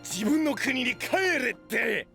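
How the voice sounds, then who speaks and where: An actor playing a rough but good-hearted young knight in an anime voice drama, blunt and slangy. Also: male voice, extremely high pitch, big pitch swings, slow pace, sharp tone, faint music behind, exaggerated voice. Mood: grumbling.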